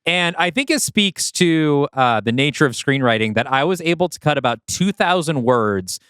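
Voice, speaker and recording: Man chatting on podcast, lively pitch. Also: moderate pace, clear, resonant tone, no room sound, studio quality. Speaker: podcast co-host. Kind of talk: casual podcast chat.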